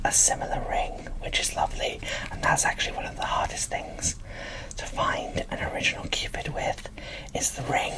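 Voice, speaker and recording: Female ASMR presenter, fully whispered and breathy, enthusiastic and gushing in a show-and-tell delivery. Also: low voice, rough recording. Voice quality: soft, breathy unvoiced whisper. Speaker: host of an ASMR doll unboxing channel.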